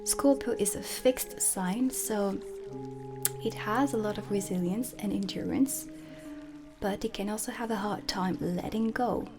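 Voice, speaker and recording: Slow, soft-spoken woman, half whispering. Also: medium pitch. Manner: soft, breathy, measured teaching delivery with deliberate pauses. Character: dark witch teacher, stern and aloof. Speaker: witch academy teacher in an ASMR roleplay. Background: ambient music.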